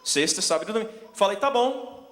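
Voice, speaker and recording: Male preacher, forties, American accent, English native. Notably high voice, halting storyteller delivery, long hall reverb. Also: lively intonation, a bit bright. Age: 40s. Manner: self-deprecating, halting search for words. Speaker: preacher, missions speaker. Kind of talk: sermon with personal storytelling. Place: large indoor hall, speaking to an audience.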